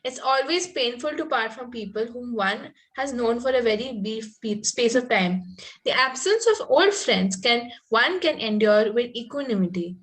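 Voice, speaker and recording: Casting: naive, romantic young Victorian lady played by a woman, lightly high pitch, earnest and prim, amateur comedy read. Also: lively intonation, normal speed, fairly bright tone, rough recording. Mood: sweet, wistful.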